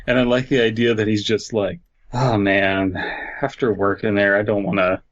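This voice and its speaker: A man's voice: fairly low pitch, little pitch movement, moderate pace, muffled recording. A man co-hosting a comedy podcast, chatting casually and reading a story aloud, sounding tired and stumbling over his words. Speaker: podcast co-host.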